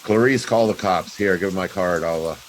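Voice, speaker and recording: An actor playing an impatient, sardonic private detective in a brusque, slangy 1940s radio drama style. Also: male voice, low voice, brisk, very noisy, natural voice.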